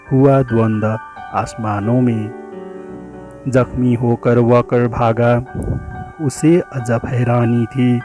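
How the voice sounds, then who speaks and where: A man reciting a poem in a measured, declamatory way, drawing out the ends of lines. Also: medium pitch, mellow. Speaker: poetry reciter. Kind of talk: dramatic Hindi poem recitation.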